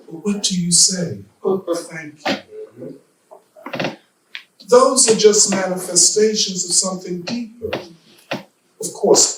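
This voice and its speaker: Slow man preaching in an echoey church. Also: sits high, noisy background. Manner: slow deliberate preaching with rhetorical questions. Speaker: guest speaker. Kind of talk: church lecture speech. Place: church hall. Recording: echoey hall from far off.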